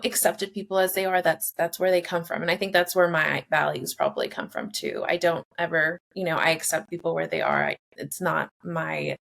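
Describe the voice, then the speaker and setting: A woman's voice: lowish voice, vocal fry. A young woman from Mexico, a therapist guest, answering interview questions in an earnest, reflective way with many "like"s and "you know"s.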